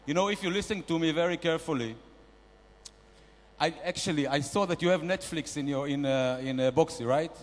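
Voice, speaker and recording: A man's voice: a little fast, lightly acted. A man playing a brash, boastful male investor nicknamed a shark, barking bossy mock-grand business advice at a conference panel. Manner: loud, bossy, mock-grand sales pitching. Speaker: comedian performing at a panel. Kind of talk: stand-up character bit. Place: large conference hall. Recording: reverberant conference hall.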